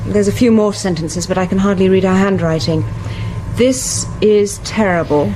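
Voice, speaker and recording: A woman's voice: medium pitch, moderate pace, background noise, lightly acted. An actress playing a thoughtful woman scientist in a radio thriller, reading. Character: British woman marine biologist reading a diary aloud.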